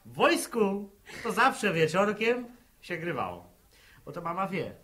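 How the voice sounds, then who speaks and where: A man's voice: high voice, sing-song, measured pace, fairly bright tone, exaggerated voice. A man playing a cheeky young village son in a radio drama, playful and wisecracking with mock formality. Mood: joking. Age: young adult. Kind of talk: 1960s radio drama acting. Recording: noisy old radio recording with clicks.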